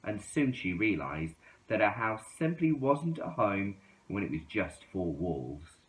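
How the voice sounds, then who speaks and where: Animated man reading a storybook, roomy sound. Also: fairly low pitch, measured pace. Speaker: children's story reader. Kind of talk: expressive storybook read-aloud. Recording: roomy, echoing indoor sound.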